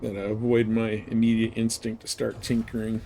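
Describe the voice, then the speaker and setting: A man's voice: fairly low pitch, completely flat delivery, normal speed, gravelly, dark tone, a little hiss or hum, low-quality recording. A man giving casual, slangy live commentary while he plays a game. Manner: informal slangy talk. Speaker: live streamer playing a space flight game.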